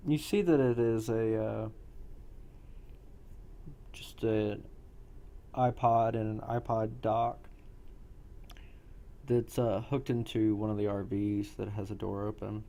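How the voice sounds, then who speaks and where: A man narrating an improvised role-play game as game master, in a halting way with frequent throat-clearing. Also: on the low side, fairly even intonation, dark tone.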